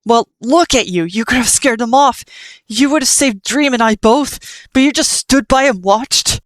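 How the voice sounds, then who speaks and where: A woman voicing a bitter, furious cursed male skeleton who shouts his lines. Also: fairly low pitch, animated, takes it slowly, no room sound, heavily acted.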